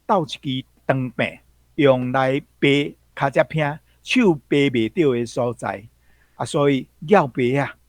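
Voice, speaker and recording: Man, Taiwanese accent, guest commentator, explanatory, step-by-step, mid pitch, dry recording. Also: dry recording. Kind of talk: explanatory talk on words and history.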